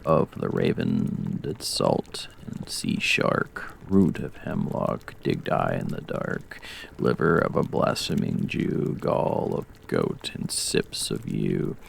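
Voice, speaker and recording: Ancient Shakespearean witch male performer: creaky croak, widely swooping pitch, incantatory, sinister, savoring each word. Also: big pitch swings, a little hiss or hum, heavily acted. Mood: sinister, relishing. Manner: slow incantation, chanting rhymed spells. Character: ancient sinister witch, gleeful over the cauldron. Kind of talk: dramatic reading of Shakespeare.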